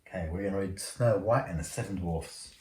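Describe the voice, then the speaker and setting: Low-voiced man reading a bedtime story. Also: fairly quick, a little mellow. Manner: stumbles over words while reading. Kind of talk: storybook read-aloud. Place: indoor room at home. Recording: roomy home recording, faint noise.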